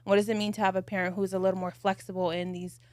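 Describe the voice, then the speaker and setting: Woman podcast co-host, dry close sound, reflective measured storyteller, mid-pitched. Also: level tone, darkish tone, no echo. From United States. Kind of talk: casual podcast chat.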